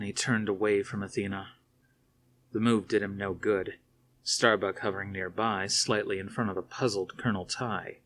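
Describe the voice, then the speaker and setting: A man narrating a science fiction novel aloud in a steady, plain reading voice. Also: fairly low pitch, a little hiss or hum. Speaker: audiobook reader.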